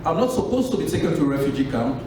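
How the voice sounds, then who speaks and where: A man from Sierra Leone with a Sierra Leonean accent, telling a personal story to an audience at a book launch in a measured, pausing way. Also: sing-song. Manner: measured, pausing, drops low at phrase ends. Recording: echoey room from a distance with noise.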